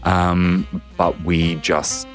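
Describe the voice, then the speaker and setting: An Australian man in his thirties, a podcast guest telling his story in a measured, candid way with frequent ums. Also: deep voice, little pitch movement, soft background music. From New South Wales, Australia. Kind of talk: reflective personal storytelling.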